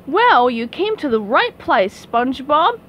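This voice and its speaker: A woman's voice: high-pitched, very animated, unhurried, dull audio. A woman voicing a folksy, emphatic female squirrel in a hobby cartoon dub. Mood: confident.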